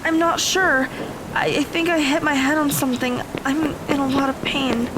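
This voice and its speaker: A woman's voice: high voice, fairly even intonation, normal speed, noisy background, close to an everyday voice. A woman playing an earnest, tentative teenage girl heroine in an audio drama. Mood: pained.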